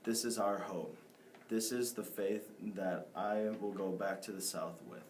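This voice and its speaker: Teen male student reader, fairly low, roomy echo. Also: a little slow. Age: teenager. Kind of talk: reading a famous speech aloud. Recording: echoey room, faint noise.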